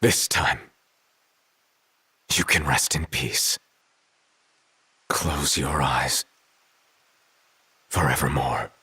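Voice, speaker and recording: A man's voice: extremely low pitch, extremely slow, pauses often, slightly rough, exaggerated voice. An actor playing a stern, weary, resolute king in a video game, speaking tersely and solemnly under music. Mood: solemn, gentle. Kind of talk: dramatic video game voice acting. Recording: loud music over the voice.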